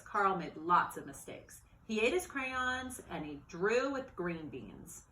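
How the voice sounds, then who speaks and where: A woman reading a children's storybook aloud in an expressive, animated narrating style. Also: fairly high pitch. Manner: expressive, acts out dialogue and exclamations. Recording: roomy, echoey sound.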